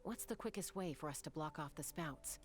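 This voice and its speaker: A woman's voice: low voice, brisk, lightly acted. A woman voicing a bold, decisive young woman of action in a video game. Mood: determined. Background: music.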